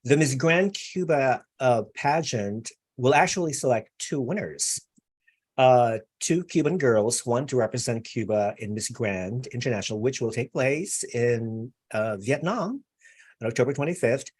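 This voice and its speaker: A man's voice: mid-range voice, animated, unhurried, a little mellow, husky. A man hosting a live online interview, chatty and effusive, with many fillers. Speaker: interview host.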